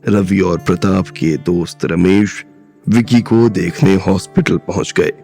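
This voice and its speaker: A man narrating an audio drama in a steady, scripted storytelling manner. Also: deep voice, a little slow, gravelly, faint music behind.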